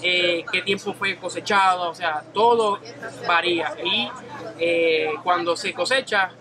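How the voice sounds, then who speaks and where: A young man working as a barista, calmly explaining coffee in a small coffee shop. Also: sits high, unhurried, a crowd in the background. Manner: calm, explanatory, informative. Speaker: professional barista. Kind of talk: casual explanation.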